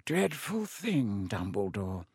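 A man's voice: a little high, sing-song, takes it slowly, no echo, studio quality, pitched above the performer's own voice, heavily acted. A man voicing a sneering, menacing aristocratic wizard in an audiobook, with a lazy, oily, mock-polite drawl. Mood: lazy menace.

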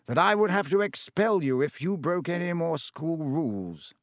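A man voicing a kindly, wise old male wizard headmaster, gentle and measured. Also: a little high, lively intonation, no room sound, studio quality, voice pushed up.

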